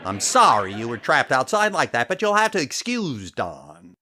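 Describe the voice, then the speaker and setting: A man playing a vain, fussy, easily exasperated comedian host in an old-time radio comedy, with an indignant, deadpan delivery. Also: medium pitch, moderate pace, slight background noise. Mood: flustered.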